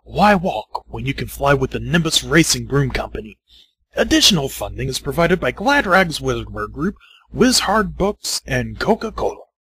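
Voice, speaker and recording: A man voicing an old headmaster with a husky, rough voice, announcing theatrically in an amateur parody reading. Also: medium pitch, sing-song, normal speed, bright, forceful tone, full character voice. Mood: salesmanlike. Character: old male school headmaster, grandly selling out to sponsors. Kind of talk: amateur dramatic reading of parody fan fiction.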